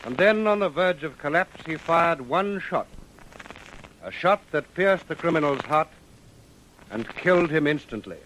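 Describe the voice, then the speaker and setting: An actor as the grave narrator of a radio police drama, telling the story in dramatic scripted style. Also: male voice, a little high, animated, unhurried, fairly bright tone, a little hiss or hum, low-quality recording.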